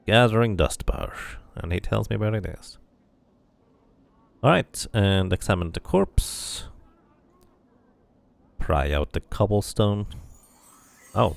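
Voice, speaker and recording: A man's voice: fairly low pitch, creaky. A man reading game text aloud and commenting casually as he plays a role-playing game.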